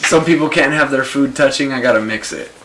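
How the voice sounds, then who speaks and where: A man's voice: medium pitch, fairly quick, noisy background. A man hosting a casual chat, laid-back and joking, using surfer slang.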